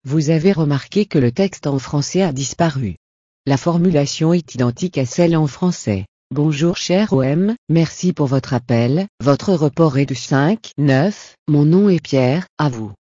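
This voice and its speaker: A man's voice: fairly high pitch, measured pace, dark tone, no room sound. A man giving scripted instructional narration as the teacher of a lesson. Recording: dull, muffled, dry voice-over.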